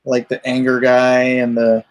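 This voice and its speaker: Man chatting casually, halting as he explains. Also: mid-range voice, monotone. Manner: halting, searching for words. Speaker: comic book creator explaining his project. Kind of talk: casual unscripted chat.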